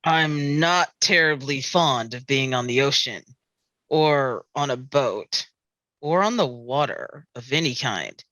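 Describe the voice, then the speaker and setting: A man's voice: mid-range voice, takes it slowly, bright, forceful tone, no room sound. A man at a script table read playing a reluctant, anxious, wry sheriff who hates the sea. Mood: queasy dread.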